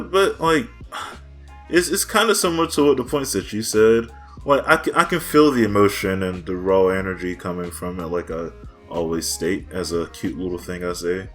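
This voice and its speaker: A young man with a very deep voice chats loosely on a podcast, dropping slang and laughing easily. Faint music plays softly behind him. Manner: laid-back, lots of "like" and "bro", laughs often. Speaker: podcast co-host. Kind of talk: casual chat.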